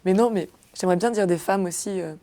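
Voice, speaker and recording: A woman's voice: mid-range voice, fast, dark tone. A young woman comedian chatting casually in an interview, hesitant with many fillers and restarts.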